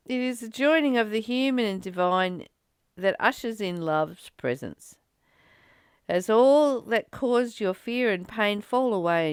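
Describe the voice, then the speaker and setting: A woman's voice: mid-range voice, clear, resonant tone, no echo, clean studio sound. A woman talking casually in a spiritual study discussion, rambling conversationally and often searching for words. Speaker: psychic healer leading a spiritual book study. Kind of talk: casual spiritual discussion with some read-aloud passages.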